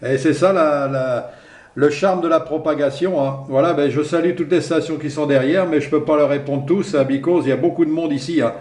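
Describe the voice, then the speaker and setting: A man's voice: on the high side, fairly quick, darkish tone. A man chatting casually over two-way radio in an easygoing way, often ending phrases with small tag words. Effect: two-way radio transmission. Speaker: amateur radio operator. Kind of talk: casual radio contact chat. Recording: echoey two-way radio transmission.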